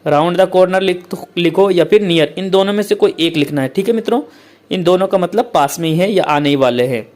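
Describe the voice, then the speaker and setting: A male teacher who explains a letter format step by step, repeats points often and reads English lines out slowly. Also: high voice, darkish tone. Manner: repetitive, keeps saying "okay, friends".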